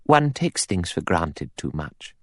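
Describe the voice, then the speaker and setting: A man's voice: low voice, very animated, takes it slowly, fairly bright tone, no echo, heavily acted. A man voicing a pious, severe elderly spinster in an audiobook, dry, precise and disapproving. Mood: brisk annoyance. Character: prim, pious, severe elderly spinster, coldly self-righteous.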